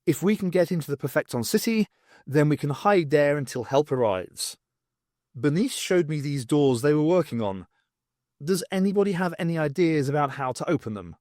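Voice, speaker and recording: A man's voice: fairly high pitch, expressive, moderate pace, a little mellow, no echo, clean studio sound, close to an everyday voice. A man voicing a worried man who reluctantly acts as the voice of reason in an audiobook. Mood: steadying.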